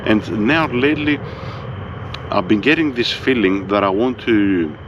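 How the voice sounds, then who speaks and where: Low-voiced Greek man, casual and monotone. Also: measured pace, lo-fi sound, background noise. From Greece. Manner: laid-back, swears freely, keeps saying "you know what I mean". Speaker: vlogger. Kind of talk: casual monologue.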